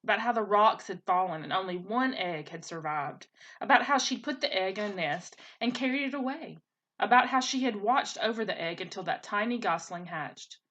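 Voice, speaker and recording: A woman teacher reading a children's storybook aloud in an expressive way. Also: mid-range voice, normal speed, dull audio.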